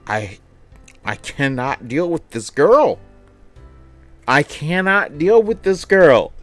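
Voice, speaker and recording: A woman reads a visual novel aloud on a livestream, taking her time. Her pitch moves around a lot as she slips into amused, exasperated reactions. Game music plays faintly behind her. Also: big pitch swings. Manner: amused, exasperated asides between deliberate reading. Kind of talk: livestream playthrough, reading and reacting casually.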